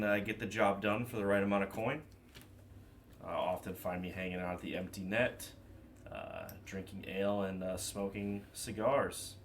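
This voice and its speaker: A man with a low voice introducing his character calmly, easing along with small fillers. Light hiss behind him. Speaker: player.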